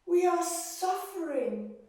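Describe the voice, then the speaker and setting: A woman's voice: lively intonation, slow pace, full character voice. A woman voicing suffering, hungry people who plead desperately in a storybook myth. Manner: pleading, high, loud crying out. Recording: echoey room, far from mic.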